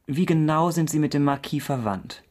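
An actor playing a courteous, persistent investigator in a period radio crime drama, asking clipped, formal questions. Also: male voice, a little high, moderate pace, darkish tone, lightly acted. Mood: courteous, insistent. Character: formal, persistent male investigator in 17th-century Paris. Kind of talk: German radio drama acting.

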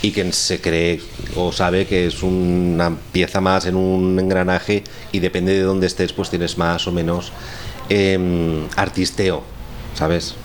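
A man's voice: sits low, little pitch movement, takes it slowly. A man from Spain with a Spanish accent, chatting casually as a scriptwriter and teacher in a wry, rambling way. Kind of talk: casual chat on a radio show. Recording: clearly noisy room recording.

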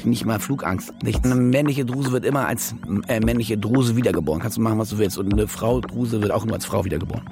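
An actor playing a cynical, self-justifying German war reporter in a radio drama, wry and rambling, with hesitations and restless bursts. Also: male voice, lowish voice, fast, few pauses, over music, natural voice. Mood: rambling, confiding. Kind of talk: naturalistic radio drama monologue and dialogue.

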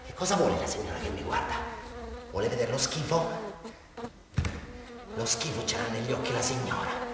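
An actor playing a rambling, volatile small-town criminal with a southern Italian accent, confessing to a horrendous crime in a theatrical radio-drama monologue. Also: male voice, medium pitch, big pitch swings, moderate pace, pauses often, crowd noise, natural voice. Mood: brooding, menacing. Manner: rambling, insistent, swinging from pleading to muttering. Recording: very echoey hall, distant mic, faint noise.

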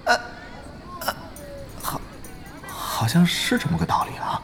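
An actor playing a young male gamer and undercover guild spy in an audio drama, animated and exclamatory, stammering when flustered. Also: unhurried, a little hiss or hum. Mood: flustered, baffled. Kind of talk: Chinese audio drama acting with inner monologue.

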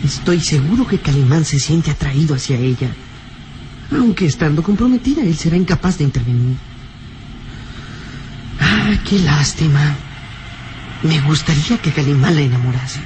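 A man's voice: a little high, expressive, moderate pace, pauses often, a little mellow, a little hiss or hum, close to an everyday voice. A man playing an earnest, loyal little boy companion in an old radio serial, with a musing manner. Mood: wistful.

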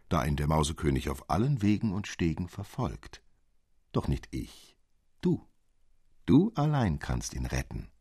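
A man voicing a mysterious, gentle old godfather in a storybook, speaking softly and solemnly. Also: rumbling low, breathy edge, no echo, clean studio sound, voice pushed down, exaggerated voice. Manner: soft, slow, solemn.

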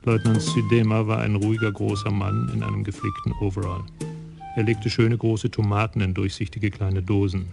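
A man narrating a radio crime drama in a measured, even storytelling voice. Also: on the low side, gravelly, over music.

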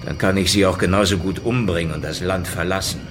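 A man's voice: low-pitched, fairly even intonation, quick pace, music in the background, natural voice. An actor in a German radio play playing a cornered, hard-boiled Soviet secret policeman, defiant, terse and dryly sarcastic. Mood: challenging.

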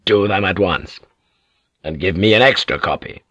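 A man voicing a powerful older warlord who gives curt orders in a flat voice. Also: deep voice, normal speed, no room sound, muffled recording. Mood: flat commanding. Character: powerful older male warlord, calm and commanding.

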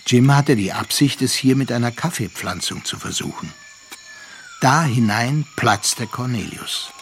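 An earnest, troubled man in a radio drama, speaking deliberately at a medium pitch. Clear noise and chirping insects sit behind his reflective voice. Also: animated, fairly bright tone. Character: earnest, troubled, reflective male narrator and confidant. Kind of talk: radio drama acting and narration.

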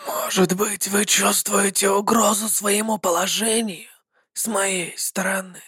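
A woman voicing a sly, scheming male warlock mercenary who talks smoothly and mockingly. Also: mid-range voice, steady tone, unhurried, a bit bright, dry recording, clean studio sound.

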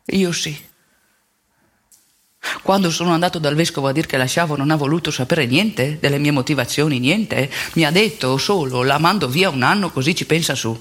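Woman playing a husky former priest. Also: sits low. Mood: tender, reflective. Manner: halting, emphatic repetition, colloquial. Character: ageing former priest, wounded, candid, plain-spoken man. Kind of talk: theatrical monologue, intimate confessional storytelling.

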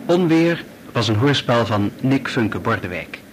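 Dutch male radio announcer, slow scripted delivery, middle pitch, faintly noisy recording. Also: very animated. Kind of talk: scripted radio credits reading.

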